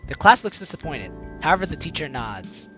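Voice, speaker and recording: A man's voice: a little high, very animated, brisk, a bit bright. A man reading a visual novel aloud as a let's play host, expressively acting out reactions and adding playful asides. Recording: music under the voice. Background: game music.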